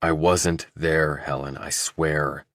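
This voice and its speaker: A man acts out a desperate young man suspected of murder, very low in pitch. He is blunt, earnest and insistent, as audiobook dialogue in a dry recording. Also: flat delivery, slow pace, fairly bright tone, no room sound, heavily acted.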